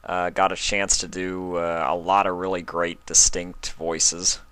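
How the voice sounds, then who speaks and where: A man's voice: sits low, flat delivery, measured pace, a bit bright, clear, resonant tone, no room sound. A man speaking as a reader of fan fiction stories. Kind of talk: storybook narration and casual sign-off.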